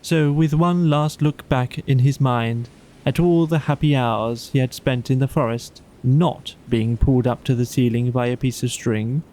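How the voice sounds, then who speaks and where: A man's voice: medium pitch, fairly even intonation, measured pace, mellow. A man narrating a children's bedtime story as an audiobook reader.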